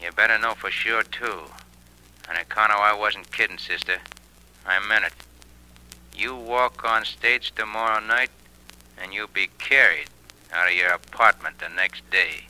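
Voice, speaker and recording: A man's voice: fairly low pitch, pushed and bright. An actor playing a slangy, threatening male thug in an old radio crime drama. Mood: menacing. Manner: slangy, slow, threatening delivery. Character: menacing tough male thug making a threatening phone call. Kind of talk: 1940s radio acting. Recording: noisy old radio recording with hum.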